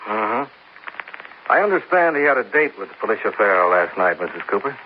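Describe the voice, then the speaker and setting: An actor playing a terse insurance investigator who narrates and questions in a 1950s radio detective drama, clipped, dry and wry. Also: male voice, lowish voice, sing-song, brisk. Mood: probing. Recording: dull old radio recording with faint hiss.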